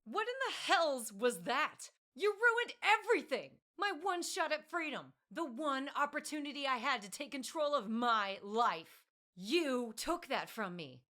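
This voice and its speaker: A woman's voice: lowish voice, moderate pace, few pauses, lightly acted. A woman voicing a furious young female elf assassin who screams with her voice cracking.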